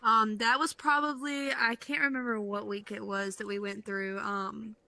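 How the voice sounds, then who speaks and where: Woman, raspy, hoarse, strained voice, bright tone, rambling casual chat. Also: on the high side, flat delivery, normal speed, no echo. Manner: rambling explanations with frequent ums. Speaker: haunted attraction scare actor.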